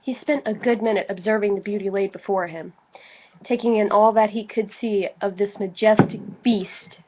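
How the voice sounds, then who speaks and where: A woman's voice: medium pitch, steady tone, moderate pace. A slightly tipsy woman bantering casually and reading aloud for comic effect, informal, profane and giggly. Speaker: co-host of a dramatic reading video. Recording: dull lo-fi home recording.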